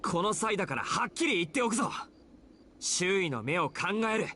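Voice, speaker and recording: Rapid-fire exasperated teenage boy. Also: high-pitched, big pitch swings, bright, forceful tone, slight background noise, full character voice. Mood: scolding. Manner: blunt, scolding, exasperated. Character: exasperated, sensible teenage boy, blunt but considerate. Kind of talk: Japanese visual novel voice acting.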